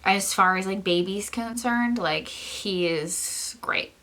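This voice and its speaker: Bright-voiced stuffy young woman chatting. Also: mid-range voice, takes it slowly. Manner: chatty, rambling with frequent "like" and "um"; laughs often between phrases. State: stuffy, congested nose; short of breath. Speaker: vlogger. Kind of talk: casual chat to camera.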